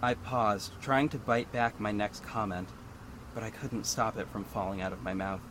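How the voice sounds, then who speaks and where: A man's voice: fairly low pitch, fairly even intonation, normal speed, fairly bright tone, a little hiss or hum, close to an everyday voice. A man playing a young adult superhero who narrates his own story in a hurt, frank, introspective way for an audio drama. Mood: brooding, reflective. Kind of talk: first-person audio drama narration with dialogue. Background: instrumental music.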